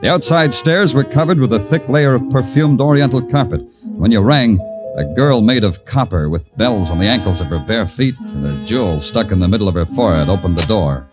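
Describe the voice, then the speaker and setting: Casting: male actor as hard-boiled police detective, old-time radio; lowish, lively inflection, wry wisecracks, purple noir narration. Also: a little fast, music in the background, natural voice. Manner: sardonic, terse, florid noir narration. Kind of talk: 1940s-50s radio detective drama acting.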